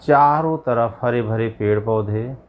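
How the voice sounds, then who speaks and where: A man guiding a yoga nidra meditation as a yogi, giving measured instructions in short, spaced phrases. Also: medium pitch, lo-fi sound. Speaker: yogi leading guided meditation.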